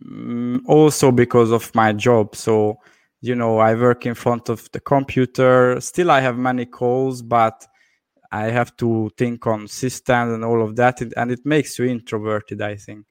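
A man hosts a casual interview in a smooth, clear voice at a middle pitch. He speaks hesitantly with plenty of "yeah" and "um", recorded close and dry. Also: unhurried, no echo. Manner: relaxed, hesitant, frequent "yeah" and "um". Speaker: host, interviewer.

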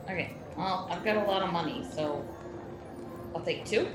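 A woman's voice: fairly low pitch, normal speed. A woman playing a tabletop game, asking questions and sounding self-assured. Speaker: player. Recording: echoey room with music behind.

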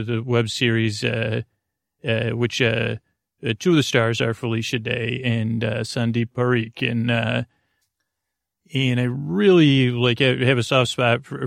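A man with a gravelly, creaky voice at a middling pitch, recorded close and dry. He hosts a sleep podcast, wandering through his monologue in a halting, repetitive way. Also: no echo. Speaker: podcast host.

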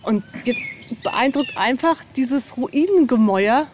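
A woman with a distinctly high-pitched voice chats informally, full of wonder and enthusiasm. She is outdoors on a tour, and a little ambient noise sits behind her speech. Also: lively intonation, a little slow, a little mellow. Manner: enthusiastic, marvelling. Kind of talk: casual chat on an outdoor tour. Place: outdoors at a castle ruin. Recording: outdoor field recording with faint background noise.

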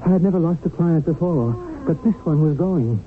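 A man's voice: on the high side, animated, a little fast, noisy background, natural voice. An actor playing an anxious, pressured career man, confiding his story as first-person radio drama narration. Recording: tinny old radio broadcast. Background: prominent music.

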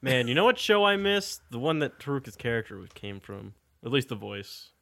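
Casual male tabletop player, mid-pitched, rambling explainer. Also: very animated, fairly quick, creaky, fairly bright tone. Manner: explains with restarts and hedging fillers. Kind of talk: casual out-of-character chat.

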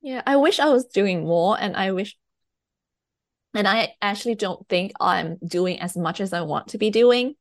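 A woman's voice: mid-range voice, unhurried, no room sound, studio quality. A young woman from Thailand, speaking English as her second language, chatting hesitantly as a guest novelist with many fillers and laughs. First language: Thai. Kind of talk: casual interview chat.

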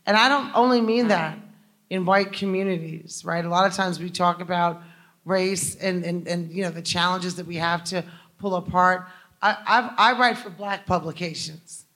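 A woman's voice: fairly low pitch, normal speed, a bit bright. A woman journalist moderating a conference panel, asking long, rambling questions with emphatic asides.

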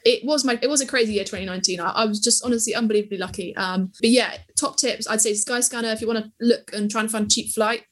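A woman's voice: on the high side, fast, few pauses. A woman in her twenties, a podcast guest and travel creator, telling travel stories with enthusiasm and plenty of fillers. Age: 20s. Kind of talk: casual interview chat.

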